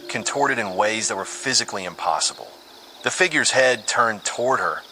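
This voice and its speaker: A man reading a scripted horror story with dramatic, suspenseful delivery. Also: on the low side. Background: music under the voice.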